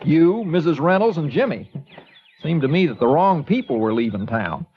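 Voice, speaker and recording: A folksy small-town newspaper editor talks in an easygoing drawl. His voice sits a little high and moves a lot. The old radio recording sounds dull, with faint music behind it. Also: lively intonation, normal speed, breathy edge. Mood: warm. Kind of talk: 1950s radio drama acting.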